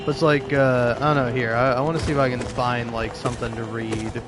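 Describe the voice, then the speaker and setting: Male creator, casual rambling talk at a middle pitch, music underneath. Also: little pitch movement, a little fast. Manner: rambling, self-questioning. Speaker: video creator.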